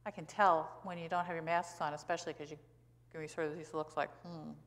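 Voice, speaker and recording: American lady preacher in her 60s, lowish voice, talks plainly and leans on key words, echoey church. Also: level tone, quick pace, a bit bright. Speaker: pastor. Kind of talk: sermon with rhetorical questions. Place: church sanctuary, indoors at a pulpit. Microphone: pulpit gooseneck microphone. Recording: reverberant church hall.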